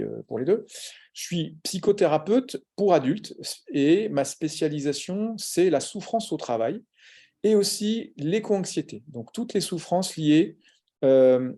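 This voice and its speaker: Casting: adult male, mellow warm voice, structured explanatory delivery on a panel. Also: a little high. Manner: explanatory, orderly, some fillers. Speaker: psychologist and lecturer. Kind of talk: panel talk about his profession.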